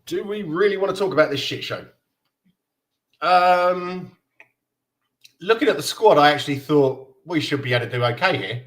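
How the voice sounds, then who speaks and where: A man's voice: on the high side, animated, normal speed, long pauses, a bit bright. A man from Denmark in his thirties hosting a casual fan live stream, chatting loosely and reading viewer comments aloud with frequent laughs. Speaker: fan livestream host.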